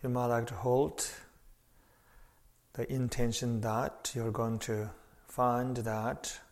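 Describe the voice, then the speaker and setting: A man leading a guided meditation as a teacher, speaking in an unhurried, measured way with long pauses. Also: mid-range voice, flat delivery, darkish tone.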